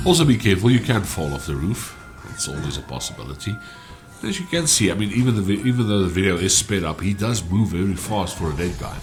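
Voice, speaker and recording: A man from with a stuffy cold voice, giving relaxed gameplay walkthrough commentary. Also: deep voice. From South Africa. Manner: relaxed, explanatory, with frequent "uh" fillers. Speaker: gaming channel host.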